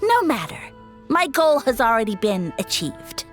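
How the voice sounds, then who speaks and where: A woman's voice: on the high side, very animated, measured pace. A woman voicing a haughty, scheming mushroom villain who poses as a god, pompous and theatrical, in a video game. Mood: smug. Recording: music behind the voice.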